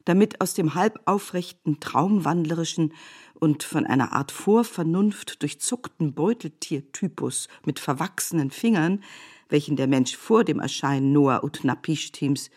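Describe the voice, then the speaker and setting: A woman giving a measured, scripted literary narration in an essayistic manner for a radio production. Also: low voice, darkish tone, husky.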